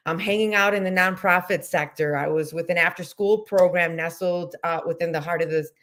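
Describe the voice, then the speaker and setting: Brief: thirties woman educator, lowish voice, relaxed storyteller, lots of "like" and "um". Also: a little fast. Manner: reflective, chatty storytelling with many fillers. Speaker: educator guest. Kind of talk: casual interview conversation.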